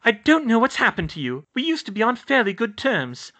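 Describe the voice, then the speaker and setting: Man acting out a teenage witch girl, raised pitch, urgent, emphatic, fiercely protective, bright storybook character. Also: wildly swooping pitch, brisk, exaggerated voice. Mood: indignant, pleading. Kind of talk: audiobook character voice.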